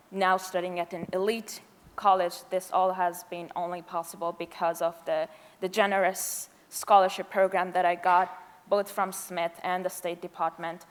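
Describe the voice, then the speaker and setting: Nervous young woman speaking in an echoey hall. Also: lowish voice. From Afghan, grew up in Pakistan. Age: 20s. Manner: hesitant, halting. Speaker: university student speaker. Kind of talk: informal, unscripted public speech. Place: large indoor event hall. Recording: echoey hall, long reverb.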